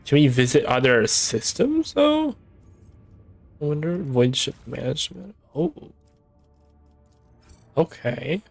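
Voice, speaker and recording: A young man streaming a game, hoarse and tired, rambling casually as he thinks aloud. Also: a little high, sing-song, slow, long pauses, faint music behind. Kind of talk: casual live-stream commentary.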